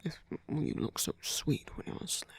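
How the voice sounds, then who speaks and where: A performer voicing a sweet, doting male vampire boyfriend in a breathy, hesitant ASMR whisper. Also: no echo, close to an everyday voice. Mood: fond, hesitant. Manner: hesitant, halting, rambling, with soft filler murmurs. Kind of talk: ASMR roleplay, soft whispered comforting.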